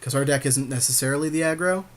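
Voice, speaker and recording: A man's voice: medium pitch, quick pace. A man co-hosting a podcast, casually commentating a card game with a friend in joking, slangy talk with offhand swearing.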